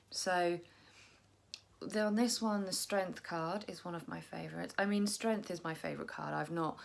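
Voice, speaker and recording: A young woman chatting casually to camera about her tarot decks, tired and croaky, rambling and poking fun at herself. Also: on the low side. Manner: chatty, self-deprecating, rambling. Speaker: tarot vlogger.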